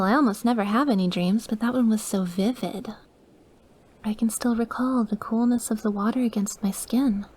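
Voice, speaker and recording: A woman's voice: medium pitch, warm tone, natural voice. A woman playing an obsessive, lovesick, dying young witch in an intimate ASMR roleplay, with a clingy, pleading manner. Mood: wistful, dreamy.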